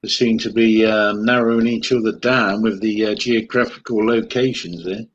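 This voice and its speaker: A man's voice: fairly low pitch, fairly even intonation, moderate pace, highs cut off. A man co-hosting a live chat stream, teasing and joking while reading out comments. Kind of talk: casual live-stream banter.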